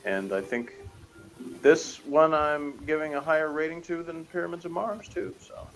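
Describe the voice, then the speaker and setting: A man's voice: a little high, normal speed, fairly bright tone, soft background music, poor audio. A man reviewing a TV episode in casual, rambling, jokey chat, often chuckling. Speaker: reviewer on a TV show review channel.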